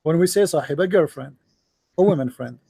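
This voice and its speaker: Arabic-native man debating, high voice. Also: brisk, gravelly, husky. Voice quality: non-native English grammar. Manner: forceful, mocking, repeats phrases. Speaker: show host and debater. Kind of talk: live call-in debate.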